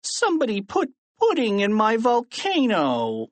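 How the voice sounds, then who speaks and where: A narrator voicing excitable, shrill schoolchildren in a children's story. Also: takes it slowly, full character voice. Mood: whining, indignant. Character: distressed, shrill schoolchildren showing off failed inventions.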